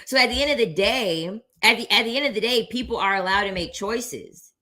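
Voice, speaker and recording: A woman's voice: a little high, brisk, sharp tone. A woman content creator giving blunt, emphatic commentary on a debate clip, repeating her points and swearing for emphasis.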